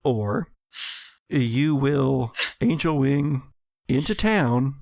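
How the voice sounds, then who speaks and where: A man runs a tabletop horror game as its storyteller, addressing players in vivid, dramatic second person. He speaks at an unhurried pace, with a gravelly creak in his voice. The sound is very dry. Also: mid-range voice, animated, darkish tone, no room sound. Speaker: game master.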